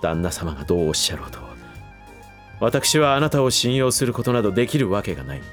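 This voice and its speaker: A loyal manservant, speaks in a lowish voice with strongly varied intonation. He is formal and coldly courteous, distrust edging his politeness, in a voice drama over faint music. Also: big pitch swings, fast talker. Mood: resentful. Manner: formal, clipped servant politeness with barbed edge. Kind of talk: Japanese voice drama acting.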